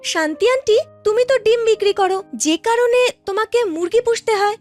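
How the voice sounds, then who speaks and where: A woman's voice: extremely high pitch, moderate pace, a little mellow, faint music behind, pitched above the performer's own voice. A woman voicing an earnest young street seller in a village cartoon, polite and eager to explain.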